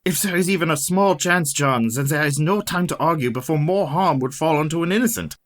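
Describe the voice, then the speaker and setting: An actor playing a solemn, authoritative older professor and vampire hunter in a radio play, speaking formally and deliberately. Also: male voice, fairly high pitch, natural voice. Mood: urgent.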